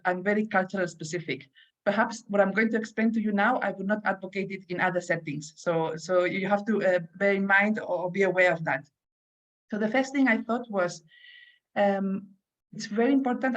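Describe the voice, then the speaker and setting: Earnest Spanish-accented woman academic, panel speaker, on a dull lo-fi call. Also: medium pitch. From Spain. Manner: earnest, repeats words as she restarts. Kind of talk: online panel talk.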